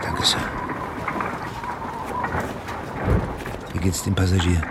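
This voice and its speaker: Deep-voiced, grave sailor played by a man. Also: completely flat delivery, fast talker, very noisy, close to an everyday voice. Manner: grave, urgent, respectful. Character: worried, outspoken ship's officer in polar ice. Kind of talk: German radio drama acting.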